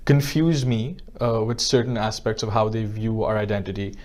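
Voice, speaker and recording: A young man from Pakistan, an Urdu speaker, giving his opinions as an interview guest in a measured, careful way. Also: on the low side, darkish tone. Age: young adult. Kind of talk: conversational opinion.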